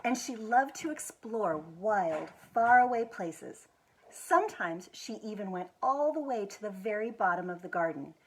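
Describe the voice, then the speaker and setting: An American woman reads a picture book aloud to children. Her voice sits at an ordinary pitch for a woman and has a husky, slightly rough edge. She is expressive and leans on key words. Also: rough recording. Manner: expressive, with drawn-out emphasis and quiet asides to show pictures. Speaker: executive director of a schools foundation, guest reader.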